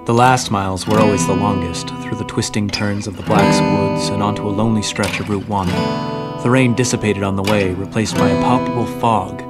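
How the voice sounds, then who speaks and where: An actor playing a brooding man who narrates a horror audio drama in a measured, solemn, ominous way. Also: lowish voice, steady tone, natural voice. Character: brooding man recalling a haunting past. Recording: loud music under the voice.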